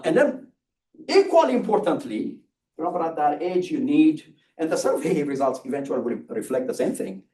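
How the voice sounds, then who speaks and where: A mature man from Ethiopia with an Ethiopian accent, giving a public lecture with personal storytelling in a deliberate, hesitant, emphatic way. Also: medium pitch. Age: middle-aged or older. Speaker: university engineering lecturer. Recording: roomy, distant microphone.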